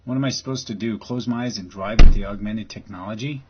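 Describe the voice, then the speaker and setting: A man's voice: lowish voice, fast talker, natural voice. A man acting in an audio drama as a rambling, agitated, crude guy arguing about conspiracies. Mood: confused, irritated. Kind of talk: scripted audio drama, casual dialogue. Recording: dull recording with loud background noise.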